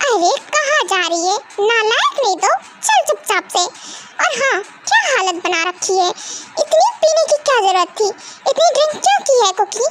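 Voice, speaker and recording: A woman's voice: extremely high pitch, big pitch swings, a little slow, heavy background noise, exaggerated voice. A woman playing a nagging, scolding mum. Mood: sarcastic. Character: scolding, fussy middle-aged mother. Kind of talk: comic sketch acting.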